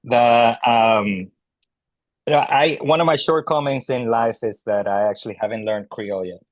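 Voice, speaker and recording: A man's voice: medium pitch, fairly even intonation, normal speed, dry recording. A man speaking as a literary historian at an online book club, casual and digressive, with many fillers and restarts. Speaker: translator and scholar guest.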